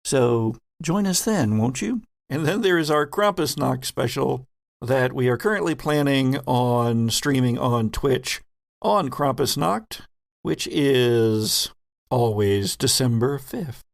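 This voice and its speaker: Slow, deliberate man as game master. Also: medium pitch, expressive, gravelly, no room sound, studio quality. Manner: deliberate, suspenseful pauses. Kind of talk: dramatic, narrating a tabletop game.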